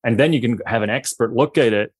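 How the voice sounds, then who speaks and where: A man's voice: medium pitch, fast talker, slightly rough. A man in his forties with a Swiss accent, speaking as an expert on a panel and stressing his points with a tag of "right?". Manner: emphatic, peppers points with "right?". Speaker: CIO and security expert. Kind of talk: panel discussion.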